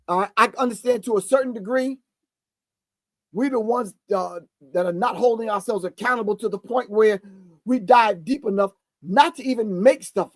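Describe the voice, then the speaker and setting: A man in his sixties hosts the show, pausing a video to comment. His voice sits high for a man, and he preaches with emphasis, repeating phrases for effect. The sound is close and dry, with no room around it. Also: no room sound. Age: 60s. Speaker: host. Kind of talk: live commentary reacting to a video.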